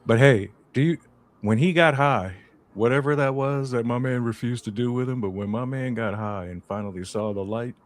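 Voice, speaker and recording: Laid-back slangy man chatting. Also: medium pitch. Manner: laid-back slangy banter, drawn-out storytelling. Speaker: podcast co-host. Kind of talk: casual chat.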